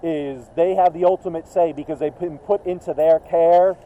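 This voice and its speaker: Dull body camera audio with faint street noise carries a man's very mellow, slightly high voice. He explains procedure casually, as someone at work would. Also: steady tone, normal speed.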